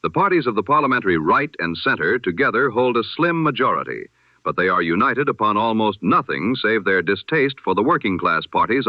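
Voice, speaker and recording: Emphatic low-voiced man reading radio news. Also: no room sound. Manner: measured, emphatic delivery with dramatic pauses. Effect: old radio sound. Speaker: newsreader. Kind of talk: old-time radio news broadcast. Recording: dull lo-fi old radio sound.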